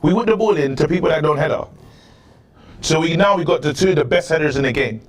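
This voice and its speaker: A man, talking loudly and with lots of energy as he co-hosts a football chat. His voice sits a little high, and he laughs easily. He is recorded on a lapel mic in a dark studio. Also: fast talker. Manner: loud, animated, laughs often. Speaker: football show co-host.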